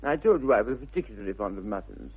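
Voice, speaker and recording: An actor playing a flippant, idle young English gentleman with an upper-class accent and airy, mock-earnest wit, in 1960s radio comedy style. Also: on the low side, animated, quick pace, slightly rough, heavily acted. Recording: muffled narrow-band vintage radio recording.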